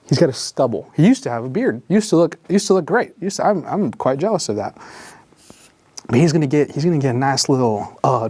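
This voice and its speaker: A man leading a drawing tutorial in a jokey, rambling way, full of gentle encouragement and little asides. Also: medium pitch, no echo. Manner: drops to whispers while concentrating on drawing. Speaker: drawing instructor.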